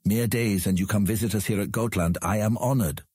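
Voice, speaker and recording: A man acting as a cunning, humorless old lord in an audiobook drama, measured and condescending. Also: lowish voice, a little mellow, slightly rough, no room sound, studio quality. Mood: dry, mocking.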